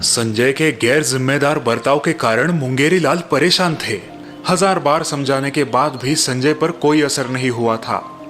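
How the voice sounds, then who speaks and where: A man's voice: medium pitch, unhurried, fairly bright tone, soft background music. A man reading scripted narration for a moral story, as its narrator.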